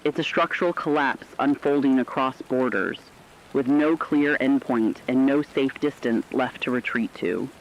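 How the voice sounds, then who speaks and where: A solemn crisis-radio anchor acted by a man speaks in measured, dramatic lines at a middling pitch. The sound is dull and lo-fi, like an emergency broadcast in a radio drama. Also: slight background noise, close to an everyday voice. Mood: somber, urgent. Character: somber radio news anchor reporting a collapsing war. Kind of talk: scripted fictional emergency news broadcast.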